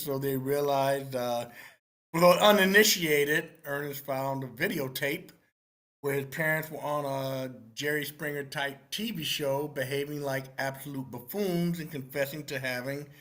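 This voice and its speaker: Guy on an online call, slightly high voice, casually explaining the script he wrote. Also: fairly bright tone. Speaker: screenwriter introducing own script. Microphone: own microphone in an online voice meeting.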